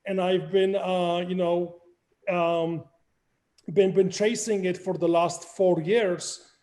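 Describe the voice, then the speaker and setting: Polish-accented man, high and mellow. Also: slow. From Poland. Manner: energetic, explains with hand gestures. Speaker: crypto project founder, guest. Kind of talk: video call interview. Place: home room with framed art and plants. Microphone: video call, webcam.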